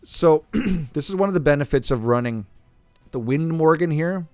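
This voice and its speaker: A man hosting a gaming channel, talking casually through a game while playing, clearing his throat as he is losing his voice. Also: on the high side, normal speed, faint music behind. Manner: relaxed, thinking aloud while playing. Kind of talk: casual gameplay commentary.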